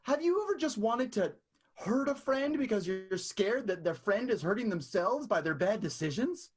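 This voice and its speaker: Husky, animated vaudeville schemer played by an actor. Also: medium pitch, moderate pace. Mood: earnest, persuading. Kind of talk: improvised radio soap opera acting.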